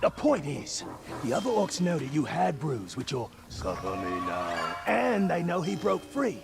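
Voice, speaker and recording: An actor playing a small, cowardly and chatty orc sidekick in a video game, rambling in a jittery, eager way. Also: male voice, fairly high pitch, gravelly, heavily acted. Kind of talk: video game character acting.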